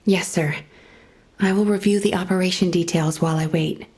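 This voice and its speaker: An actress playing a serious, composed operations officer in a game cutscene, formal and earnest. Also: female voice, on the low side, fairly even intonation, moderate pace, darkish tone, slightly rough.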